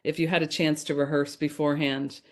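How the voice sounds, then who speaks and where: A woman's voice: low voice, little pitch movement, a little fast. A woman presenting her research in a conversational academic lecture, speaking informally and addressing audience members directly.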